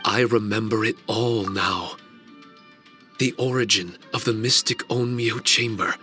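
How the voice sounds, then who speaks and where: A man voicing an ancient male guardian spirit in a video game cutscene, formal, measured and grave. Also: lowish voice, fairly even intonation, pushed and bright, soft background music. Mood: solemn.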